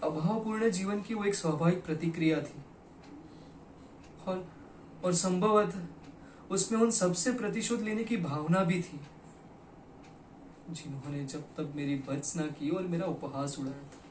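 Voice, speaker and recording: A man performing a theatrical monologue as a broken, regretful poet, speaking dramatically and deliberately with weighted pauses. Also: sits high, a little mellow. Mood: agitated, bitter. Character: broken, regretful poet confessing to his lost beloved. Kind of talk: theatrical Hindi stage monologue. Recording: echoey room, distant mic, faint noise.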